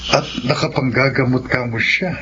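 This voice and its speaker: Casting: male actor for calm Filipino parish priest, probing, measured, radio drama, dull sound over night noise. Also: mid-range voice, close to an everyday voice.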